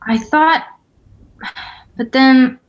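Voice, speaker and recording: A woman's voice: high voice, drawn-out words, highs cut off. A woman playing a frantic, insistent young woman who believes she is a ghost, in a script table read. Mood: confused, anxious.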